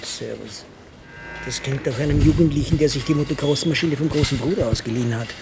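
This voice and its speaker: A man's voice: mid-range voice, brisk, creaky, music in the background, close to an everyday voice. A man playing a dry, wry hospital psychiatrist in a radio drama, speaking in an understated way. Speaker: narrating protagonist, psychiatrist. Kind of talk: radio drama, first-person narration and dialogue.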